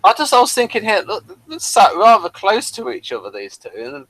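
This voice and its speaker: A man's voice: sits high, wildly swooping pitch, brisk, fairly bright tone, dry recording, low-quality recording. A man chatting casually as co-host of a review show.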